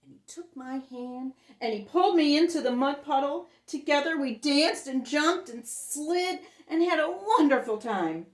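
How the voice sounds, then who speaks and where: Animated woman reading to children, echoey room. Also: sits high, unhurried, few pauses. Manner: explains simply to children. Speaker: children's story-time host. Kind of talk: expressive picture-book read-aloud for young children.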